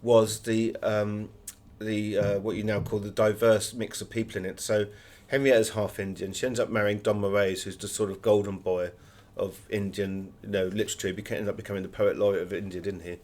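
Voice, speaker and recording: A man's voice: fairly low pitch, level tone, a little fast, a little mellow. A man speaking as a guest author in an interview, explaining things in a discursive, anecdotal way. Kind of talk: conversational interview, telling anecdotes.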